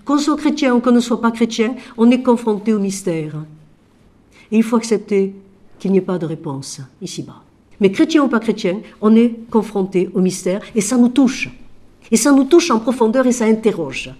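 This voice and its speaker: A woman as a Franciscan sister with a cold, higher-pitched voice, expressive speaker, asks rhetorical questions, author talk. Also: normal speed. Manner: expressive, shifting from loud emphasis to quiet asides. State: has a cold. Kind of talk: animated talk about her book.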